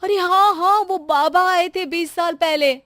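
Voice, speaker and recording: A woman voicing a fretful, sharp-tongued middle-aged housewife in an animated story, complaining dramatically. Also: extremely high pitch, unhurried, no room sound, studio quality, voice pushed up. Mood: distressed.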